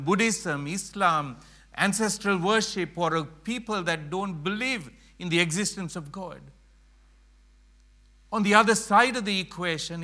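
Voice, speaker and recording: A middle-aged man from Sri Lanka giving an earnest church presentation, slowing down to stress key phrases. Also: high-pitched, bright, forceful tone. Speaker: mission speaker.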